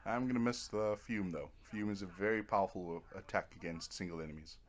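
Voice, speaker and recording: A man's voice: sits low, fairly quick. A man giving casual let's play commentary, rambling to himself in a wry, self-deprecating way. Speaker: gaming video host.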